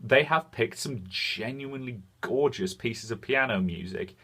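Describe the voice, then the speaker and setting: A man hosting a video game review, speaking frankly in semi-scripted commentary with deliberate pauses for emphasis. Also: medium pitch, bright, forceful tone.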